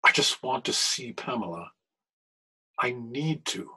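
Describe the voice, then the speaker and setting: A man playing an anguished, scholarly clergyman in a period radio mystery, speaking with formal, deliberate diction. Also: medium pitch, lively intonation, bright, forceful tone, breathy edge, full character voice, low-quality recording. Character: anguished, scholarly older clergyman posing as crime boss.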